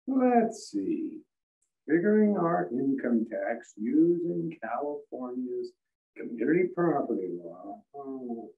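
Sly older married man, played by a male actor, muttering vintage radio patter, lively pitch, dull roomy recording. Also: slow, far from the mic. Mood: explaining, fumbling. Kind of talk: 1940s radio comedy acting. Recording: roomy, muffled, off the mic.

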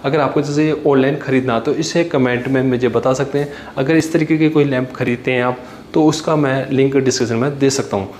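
Young man, mid-pitched, homespun tutorial delivery, phone-recorded in a bare, echoey bedroom. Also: steady tone, a little mellow. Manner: plain explanatory talk with frequent "jaise ki" and pauses. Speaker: carpenter showing his furniture work. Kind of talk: walkthrough tutorial. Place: finished bedroom with hard floor and walls. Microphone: handheld phone camera. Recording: echoey room with faint ambient noise.

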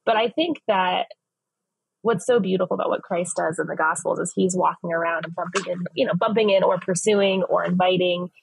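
A woman in her forties from Phoenix answers interview questions earnestly, with a husky, gravelly voice in a lowish register. She talks in long, winding sentences full of fillers and restarts. She is heard through earbuds on a video call, in a dry sound. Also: fairly quick, dry recording, rough recording. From West Phoenix, United States. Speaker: ministry leader, guest. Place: home office, indoors.

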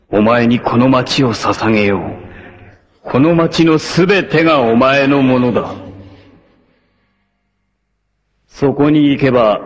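An actor playing an arrogant, obsessive warlord villain in a 1980s anime, haughty, menacing and theatrical in delivery. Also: male voice, medium pitch, slightly rough, dull audio, heavily acted. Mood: possessive, imperious. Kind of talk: dramatic 1980s anime voice acting.

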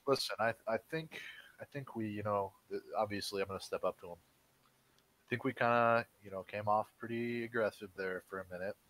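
A man playing his character at a tabletop game, speaking haltingly and trying to calm others. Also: fairly low pitch, poor audio. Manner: halting, placating, lots of fillers. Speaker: player.

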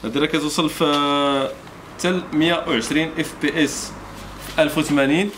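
Fast-talking Moroccan salesman, noisy recording. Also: on the high side, fairly even intonation. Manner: repetitive, salesman-like patter. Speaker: shop seller presenting products. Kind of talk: informal product presentation. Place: small motorbike accessory shop, indoors. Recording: clearly noisy shop ambience.

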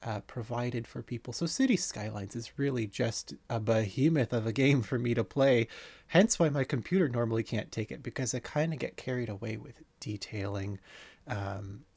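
Man, thirties, gravelly and dry, laid-back rambling game tour. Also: mid-range voice, moderate pace, dry recording. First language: not French. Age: 30s. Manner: relaxed, rambling, many ums and uhs. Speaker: city-builder game creator. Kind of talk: casual game tour narration.

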